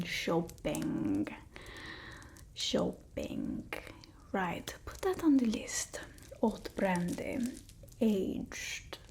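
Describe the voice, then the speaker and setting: A woman's voice: high voice, dark tone, exaggerated voice. A woman voicing a haughty, fussy but doting vampire mistress in a whispered ASMR roleplay, with a put-on Eastern European accent and an imperious, teasing murmur. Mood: instructing, fussy.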